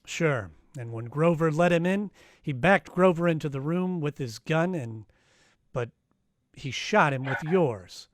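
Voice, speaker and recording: A man's voice: on the high side, expressive, a little slow, dry recording. A man playing a sardonic, wisecracking private detective in the style of 1940s radio drama. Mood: taunting. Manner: sardonic, taunting, wry. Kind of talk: 1940s radio detective acting, live performance. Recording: noisy home live-stream recording.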